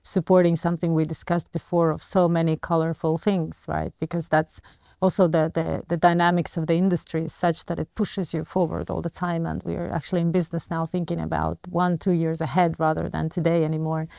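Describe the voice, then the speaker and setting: Interview guest: woman, Latvian accent, lowish creaky voice, measured and reflective with hesitant phrasing. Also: little pitch movement, mellow, no echo. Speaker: interview guest, business leader. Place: furnished indoor lounge studio.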